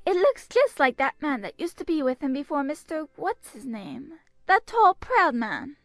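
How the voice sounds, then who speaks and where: A woman voicing a silly, excitable teenage daughter in a dramatized audiobook, girlish and exclaiming. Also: high voice, normal speed, dry recording, soft background music, pitched above the performer's own voice. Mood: excited curiosity.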